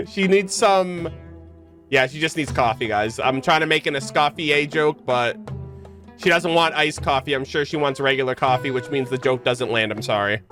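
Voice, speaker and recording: A man giving casual, expressive reaction commentary as a game streamer, slangy and prone to swearing. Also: fairly high pitch.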